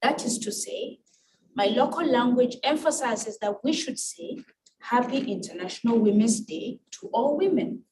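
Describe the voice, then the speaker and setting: A woman with a Kenyan accent and Luo as her first language gives a formal, measured prepared speech with emphatic phrasing. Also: fairly high pitch, animated, a little mellow. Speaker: guest speaker at an international women's event. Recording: distant mic with clear background noise.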